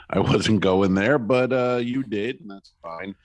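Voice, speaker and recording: A man's voice: lowish voice, slow pace, no room sound. A man co-hosting a comedy podcast from Napa, chatting with hesitant fillers and playful reactions as he searches for words. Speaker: podcast co-host. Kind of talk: casual comedic chat. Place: Napa, California, indoors.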